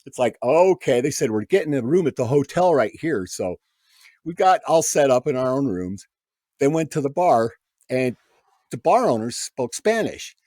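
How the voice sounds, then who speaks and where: A man's voice: mid-range voice, normal speed, dry recording, clean studio sound. A man telling stories as a guest musician on a podcast, acting out the people he quotes. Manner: animated anecdote teller, acts out quoted voices. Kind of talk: casual storytelling.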